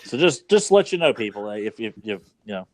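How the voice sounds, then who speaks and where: A man's voice: on the low side, very animated, fast, no room sound, poor audio. A man from rural Virginia with a Southern accent, co-hosting a podcast in easygoing casual chat full of fillers. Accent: Southern American, Virginia. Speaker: podcast co-host.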